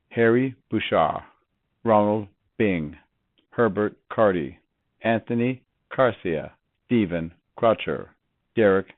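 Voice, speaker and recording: A man's voice: on the low side, no room sound. A man formally reading names for a memorial roll call, on a narrow recording. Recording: narrow, phone-like sound.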